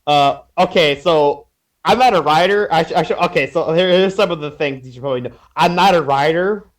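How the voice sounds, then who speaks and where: Male podcast panelist, lightly high-pitched, halting and repetitive, casual conversational delivery. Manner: stammering, repeats and trails off. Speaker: podcast panelist reviewing fan fiction. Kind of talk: casual chat.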